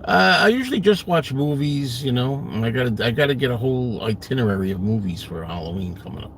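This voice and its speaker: A man's voice: medium pitch, animated, a little fast, a little hiss or hum. Brooklyn-accented man chatting from his car. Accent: New York, Brooklyn. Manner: chatty, self-deprecating, rambling. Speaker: live stream host. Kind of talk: casual chat answering viewers. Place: parked car.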